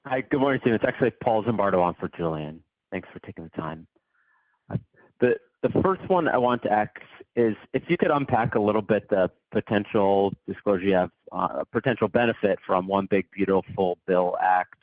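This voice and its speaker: A male financial analyst phones in with questions, polite and informal. His voice is deep. The connection is dry and narrow, with no room sound. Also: brisk, no echo. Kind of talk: spoken investor questions. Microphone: conference phone call.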